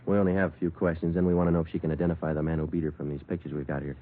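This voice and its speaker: An actor playing a terse police sergeant in a 1950s radio drama, deadpan, clipped and matter-of-fact. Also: male voice, rumbling low, racing, slight background noise. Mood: businesslike. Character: terse, businesslike male police sergeant narrating his case. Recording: tinny old radio recording, loud music.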